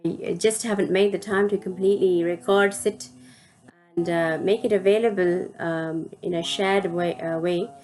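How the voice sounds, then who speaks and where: A woman from India, hosting her own spiritual channel and telling a personal story in a reflective, halting way. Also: fairly low pitch, fairly even intonation, darkish tone. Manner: reflective, halting, searching for words.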